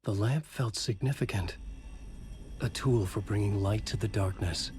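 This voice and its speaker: An actor playing a troubled, brooding writer in a video game, with a terse, grave delivery. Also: male voice, fairly low pitch, measured pace, a little mellow, soft background music, close to an everyday voice. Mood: brooding, wondering. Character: troubled, trapped male writer, brooding and determined. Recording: clear ambient noise behind voice. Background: game ambience.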